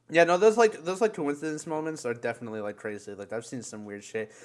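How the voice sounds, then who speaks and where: A man hosting a live stream, chatting casually with friends in an animated, slangy way with lots of swearing. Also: a little high, normal speed, vocal fry. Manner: animated, heavily profane, slangy. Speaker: live stream podcast host.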